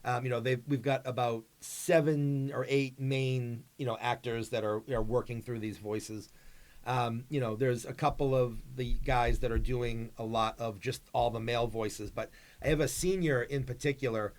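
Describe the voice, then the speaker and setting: A man's voice: medium pitch, steady tone, moderate pace. A man speaking as a school drama advisor in an interview, explaining things casually and conversationally. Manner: conversational, peppered with "you know".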